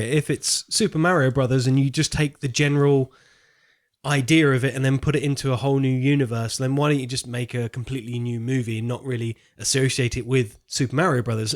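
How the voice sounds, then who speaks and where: A man's voice: medium pitch, brisk. A man co-hosting a podcast, discussing films casually and thinking aloud, often repeating a word as he goes. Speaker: podcast co-host.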